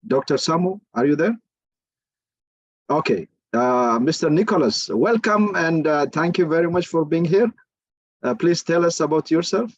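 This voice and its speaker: A courteous man hosting an online forum, speaking in a fairly high voice with plenty of hesitant pauses. The sound is dry and a little muffled, like a video call. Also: animated, no echo. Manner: halting, many filler pauses, courteous. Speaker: forum moderator.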